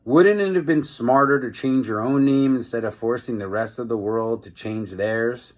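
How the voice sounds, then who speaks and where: Man reading as a cheeky, excitable schoolboy. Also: mid-range voice, normal speed, close to an everyday voice. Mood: bossy, puzzled.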